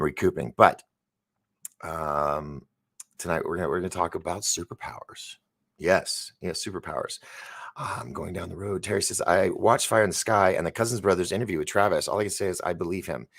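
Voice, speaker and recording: Man, very deep gravelly voice, 50s, casual rambling live-stream host. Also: fairly bright tone. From San Francisco. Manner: rambling, chatty, stumbles over words. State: recovering from an injury. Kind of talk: casual live chat reading viewer comments.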